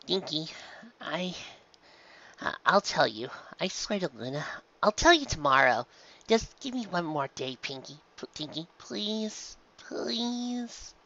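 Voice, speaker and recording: A man voicing a gentle, guilt-ridden adult pegasus mare, a mother who talks softly and haltingly in a fan fiction audiobook. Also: sits high, big pitch swings, dull audio, pitched above the performer's own voice, heavily acted. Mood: pleading. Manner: halting, soft, apologetic.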